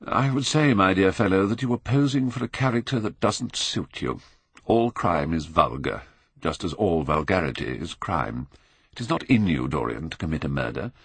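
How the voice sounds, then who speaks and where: Casting: male narrator playing jaded British lord, older, epigrammatic, low creaky voice, elastic intonation. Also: lively intonation, normal speed, no room sound, exaggerated voice. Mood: languidly amused. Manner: drawling, epigrammatic, blasé. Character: languid, witty, cynical Victorian aristocrat, older gentleman.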